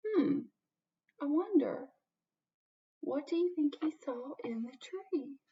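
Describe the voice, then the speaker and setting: A woman reads a children's storybook aloud in a notably high voice. She is expressive and puts questions to her young listeners. The sound is a little muffled, as from a laptop mic in a small room. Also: slow pace. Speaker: teacher reading aloud to children. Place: small home office or classroom room indoors. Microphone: webcam or laptop mic at arm's length.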